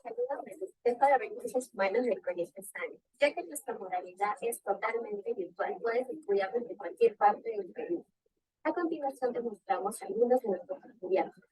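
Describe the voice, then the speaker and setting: A woman reading a promotional voice-over for a school at a quick, scripted pace. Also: a little high, animated, darkish tone, breathy edge, no echo, rough recording. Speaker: ad announcer.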